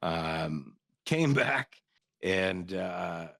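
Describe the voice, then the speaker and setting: A man from Canada with a very deep voice tells stories in an easygoing, joking way, laughing often and swearing casually. The sound is dry and close to the mic, with no room around it. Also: expressive, very slow, vocal fry, fairly bright tone, no room sound. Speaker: guest, former soldier. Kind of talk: casual podcast storytelling.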